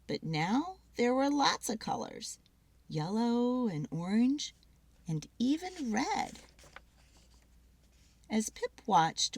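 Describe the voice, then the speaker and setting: A woman giving an expressive, dramatic read-aloud of a children's story. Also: on the high side, no echo.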